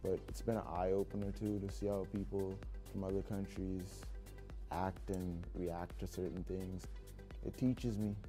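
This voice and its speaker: A man's voice: sits low, flat delivery, a little slow, music in the background. A young man from the Bahamas, a student basketball player, speaking earnestly in an interview. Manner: reflective, earnest.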